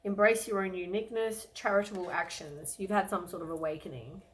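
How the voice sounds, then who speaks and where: A woman with a croaky voice from a cold, giving a relaxed, rambling tarot reading to the camera with lots of ums. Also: lowish voice, brisk, rough recording. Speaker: tarot reader.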